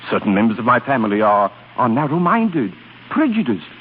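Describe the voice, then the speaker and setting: A man's voice: medium pitch, very animated, a little fast, lightly acted. Male actor for condescending upper-class philanderer, vintage radio melodrama, wildly swinging intonation, thin old-broadcast sound. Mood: placating. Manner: suave, condescending, theatrical. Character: smooth, evasive aristocratic philandering suitor. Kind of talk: 1930s radio acting. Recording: muffled, noisy 1930s radio recording.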